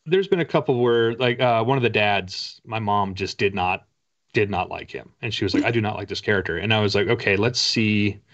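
A man's voice: fairly low pitch, a little fast, a bit bright. A middle-aged man from Canada chatting casually as an author being interviewed, with a conversational, self-deprecating humor. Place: book-lined room indoors, video call. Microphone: video call. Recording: slightly dull video-call audio.

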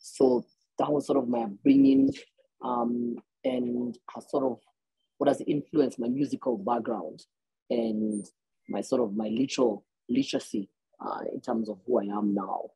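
A Kenyan man in his thirties talks on a video call through earbuds, his voice gravelly and husky. He rambles warmly through his answers, restarting phrases often. The sound is dry and close. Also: mid-range voice, very animated, measured pace, dry recording, low-quality recording. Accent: Kenyan English. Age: 30s. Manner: rambling, many restarts and fillers, laughs easily. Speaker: guest musician. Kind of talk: conversational interview answers. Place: small room indoors.

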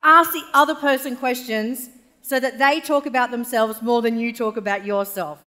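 An Australian woman giving a chatty, animated keynote speech to a live audience on a large stage. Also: a little high, normal speed. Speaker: keynote speaker. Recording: reverberant event hall.

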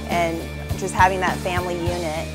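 A woman's voice: fairly low pitch, level tone, normal speed, poor audio. A young woman from Texas giving an interview testimonial as a law graduate. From Texas, USA. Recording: music behind the voice.

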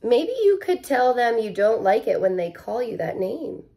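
High, animated woman reading to children. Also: normal speed. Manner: expressive, addressing young listeners directly. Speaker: teacher reading aloud. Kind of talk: children's picture book read-aloud with chatty asides. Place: living room at home, indoors.

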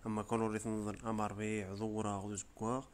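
Riffian man, lowish voice, quick informal explainer narration, tutorial voice-over. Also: level tone, a little hiss or hum. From Rif region of Morocco. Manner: brisk, informal, step-by-step explaining. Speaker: tutorial presenter. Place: voice-over, not the pictured scenes.

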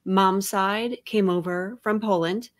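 A woman's voice: mid-range voice, steady tone. A middle-aged woman co-hosting an interview over a video call, chatty and thoughtful. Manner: chatty, thoughtful, quick asides. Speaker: podcast co-host. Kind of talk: casual interview chat.

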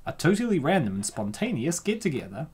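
A man's voice: fairly high pitch, sing-song, a little fast, husky. A man giving casual, joking commentary as he reacts to a comic, with slangy, playful asides. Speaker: streamer reacting to comic dubs.

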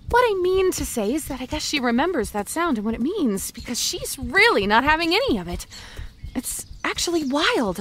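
A woman's voice: high-pitched, a little hiss or hum. A woman playing the chatty, anxious inner voice of an undead young woman, confiding in the listener in a rambling audio drama monologue.

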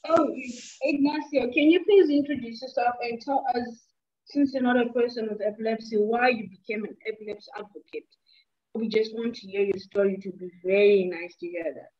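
A woman's voice: on the high side, steady tone, moderate pace, a little mellow. A woman in her twenties hosting an online meeting from a small room through earbuds, chatty and playful. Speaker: meeting host. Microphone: earbuds on a video call. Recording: dull lo-fi call audio.

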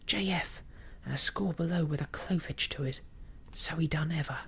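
A man voicing a rough, excitable pirate mutineer in a storybook, with husky, pitched-up ungrammatical sailor talk. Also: moderate pace, full character voice. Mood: excited.

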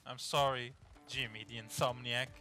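A man's voice: mid-range voice, fairly even intonation, normal speed, bright, forceful tone. A man whose first language is not English, casually commenting while playing a game, swearing a lot and stumbling when reading aloud. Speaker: gamer playing through a game. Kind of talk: casual let's play commentary. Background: game music.

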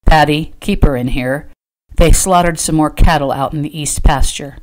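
A woman's voice: sits low, normal speed, natural voice, poor audio. A woman voicing a stern, protective male rancher from a Western romance, speaking tersely in orders. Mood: commanding.

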